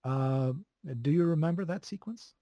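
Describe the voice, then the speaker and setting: A man's voice: a little high, moderate pace, dry recording, muffled recording. Chatty Swedish man, dry close sound. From Sweden. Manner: relaxed, chuckling, many fillers and restarts. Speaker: video game composer, guest. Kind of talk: casual interview chat.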